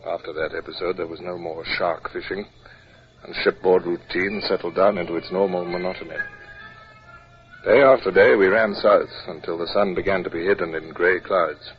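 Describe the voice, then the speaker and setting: Male actor for a reserved, authoritative British naval captain in radio drama; low register, clipped formal delivery, dull vintage recording. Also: steady tone, moderate pace, faint music behind, close to an everyday voice. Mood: grave narration. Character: stern, reserved British naval captain narrating his adventures. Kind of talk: 1950s radio drama acting and narration. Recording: muffled, hissy old radio recording.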